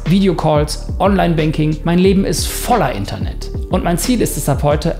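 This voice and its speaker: A man in his thirties, a YouTuber and screenwriter, narrating his own self-experiment in a lively, wry documentary style. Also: on the high side.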